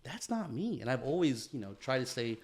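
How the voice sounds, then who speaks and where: A man in easygoing podcast conversation, meandering through his thoughts with constant "you know"s. His pitch sits in an ordinary middle register, and he pokes fun at himself as he goes. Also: big pitch swings, brisk, a little mellow. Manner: rambling, heavy on "you know" fillers, self-deprecating. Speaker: podcast co-host. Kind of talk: casual podcast chat.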